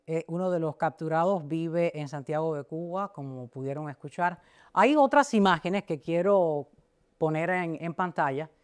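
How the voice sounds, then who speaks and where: A woman's voice: sits low, a little mellow. A woman speaking as the host of a broadcast interview.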